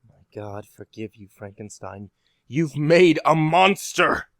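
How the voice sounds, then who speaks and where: A man's voice: on the high side, animated, slow, fairly bright tone, dry recording, clean studio sound, exaggerated voice. A man playing a pious, appalled professor and former teacher in a dramatic amateur audio drama. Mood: horrified.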